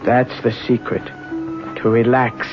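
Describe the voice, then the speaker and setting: A man playing a mysterious, commanding seller of occult charms in a 1970s radio drama, measured and insistent. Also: medium pitch, completely flat delivery, close to an everyday voice. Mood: quietly commanding. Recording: dull vintage radio, faint music.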